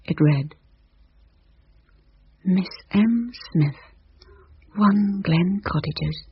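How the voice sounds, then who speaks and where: A woman with a very breathy, husky voice reads a suspenseful story in hushed tones. The recording is dry and close, with a slightly dull sound. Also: fairly low pitch, very slow, no room sound. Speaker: narrator. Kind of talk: storybook narration.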